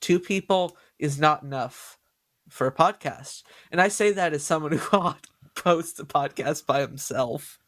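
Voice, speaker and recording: Nasal guy hosting a podcast, kinda high voice, super dry close mic, tripping over his words cause he's hyped. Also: unhurried, no room sound. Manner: excitable, stumbles over words. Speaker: podcast host. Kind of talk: excited casual podcast chat.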